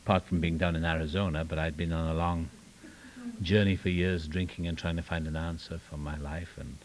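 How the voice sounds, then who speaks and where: A man's voice: low-pitched, level tone, brisk, slight background noise. An older man with a Welsh accent speaking at a recovery meeting, with wry self-deprecating humor and rambling asides. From Wales. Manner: rambling with restarts and trailing asides. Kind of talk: personal testimony talk. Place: meeting room.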